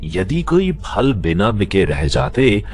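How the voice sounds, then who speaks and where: Male narrator, lowish pitch, very lively intonation, scripted cartoon storytelling, soft music underneath. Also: slow. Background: faint music.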